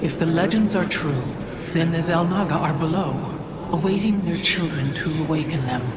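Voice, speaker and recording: An actor voicing a reverent, scholarly alien keeper of lore in a video game, with formal phrasing. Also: male voice, on the high side, normal speed, background noise, lightly acted. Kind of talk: dramatic video game voice acting.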